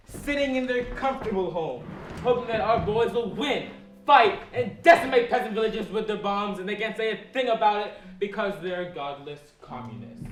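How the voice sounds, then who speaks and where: An actor playing a laid-back, rebellious anti-war teenager with a casual, teasing manner on stage. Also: male voice, sits high. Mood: mocking. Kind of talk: stage acting in a one-act play. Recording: echoey, noisy stage recording, distant mic.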